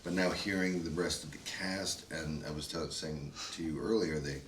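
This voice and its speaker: A man who narrated the radio play talks with animated enthusiasm in a casual chat. His voice is very low. He sounds set back from the mic in a reverberant room with faint noise. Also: normal speed. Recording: roomy echo, distant mic, faint noise.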